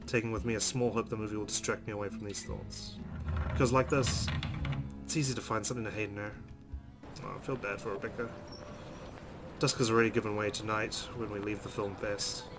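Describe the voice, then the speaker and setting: Male let's player, medium pitch, dramatic story read-aloud with short asides, clear musical backing. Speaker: let's player reading a visual novel aloud.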